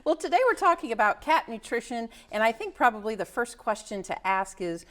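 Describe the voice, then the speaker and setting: A woman hosting a pet show, chatty and quick to laugh along with her guest. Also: fairly high pitch, expressive, fairly bright tone. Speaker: show host.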